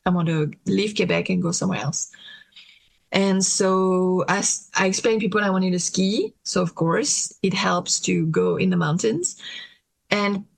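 A woman in her late thirties from France, speaking English with a French accent, talking hesitantly with many pauses in a casual interview. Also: medium pitch, creaky, no echo. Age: late 30s. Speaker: interview guest.

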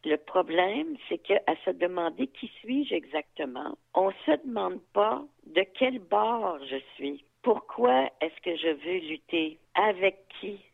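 A woman in her seventies with a Quebec French accent, heard over a tinny phone line. Her voice has a gravelly fry. She talks frankly and reflectively, with fillers and asides. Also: lowish voice, lively intonation, slow, few pauses. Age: 70s. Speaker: interviewee, activist. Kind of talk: reflective interview talk.

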